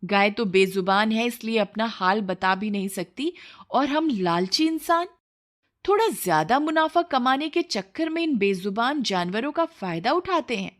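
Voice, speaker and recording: A woman's voice: fairly high pitch, expressive, measured pace. Earnest village milkman husband voiced by a woman. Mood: reproachful. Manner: earnest, reproachful, rhetorical. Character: poor honest milkman husband, morally earnest.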